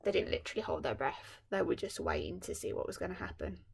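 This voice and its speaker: A woman's voice: fairly low pitch, sing-song, fast, creaky, slight background noise. A woman primary school teacher reading a story expressively, with explanatory asides for young children.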